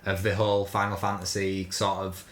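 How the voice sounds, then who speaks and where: A man's voice: low voice, little pitch movement, normal speed, a bit bright. A man hosting a casual video game discussion, speaking bluntly and informally with some swearing. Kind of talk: casual opinionated chat. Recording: roomy, echoing sound.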